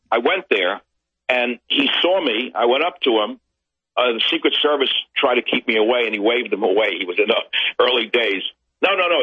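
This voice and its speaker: Husky, indignant male pundit. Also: medium pitch, no echo, lo-fi sound. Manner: indignant, ranting, climbs to loud outbursts. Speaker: guest commentator. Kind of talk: political talk show interview.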